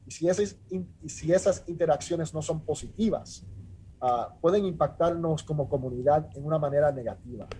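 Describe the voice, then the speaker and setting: A middle-aged man from Rico, a judge talking earnestly in a measured way with many restarts and filler sounds. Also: on the high side, mellow, a little hiss or hum, rough recording. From Puerto Rico. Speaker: judge, interview guest. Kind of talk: casual interview talk.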